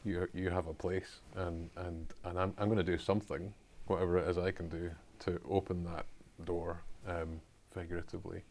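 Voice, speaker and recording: A male podcast host with a low voice that stays level, with little rise and fall. He talks thoughtfully and fills his pauses with soft ums. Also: little pitch movement, slight background noise. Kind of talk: podcast conversation.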